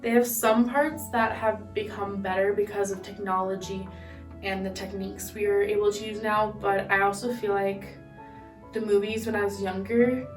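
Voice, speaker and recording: A woman's voice: mid-range voice, a little mellow. A twenty-two-year-old woman answering interview questions reflectively, searching for words. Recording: roomy, distant mic, music underneath.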